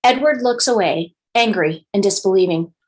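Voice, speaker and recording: A woman with a medium-pitched voice narrates stage directions from a screenplay. The sound is very dry, and the style is that of a live scripted read. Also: moderate pace, slightly rough, no room sound.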